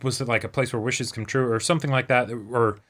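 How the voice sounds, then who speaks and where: A man's voice: medium pitch, steady tone, fairly quick, a bit bright. A man co-hosting a reaction show, chatting casually and thinking aloud in loose, rambling sentences. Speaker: co-host of an anime reaction show. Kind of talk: casual chat, discussion.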